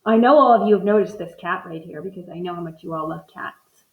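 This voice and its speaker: A woman's voice: fairly low pitch, quick pace, reverberant, phone-quality sound. A woman teacher doing a read-aloud lesson, thinking aloud as she models inferences from the pictures for her students.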